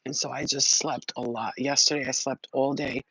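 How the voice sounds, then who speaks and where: A man giving a casual spiritual reading on a live stream, telling rambling, excitable stories with plenty of swearing. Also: mid-range voice, moderate pace, vocal fry, breathy edge, low-quality recording. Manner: rambling, profane, excitable storytelling. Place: outdoors near trees.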